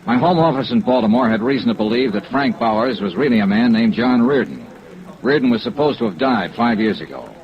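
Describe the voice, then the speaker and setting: A man's voice: mid-range voice, expressive, brisk, vocal fry. A man playing a tough, wry insurance investigator in 1950s radio detective style, clipped and matter-of-fact. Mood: terse, matter-of-fact. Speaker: lead actor and narrator. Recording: muffled vintage radio drama recording.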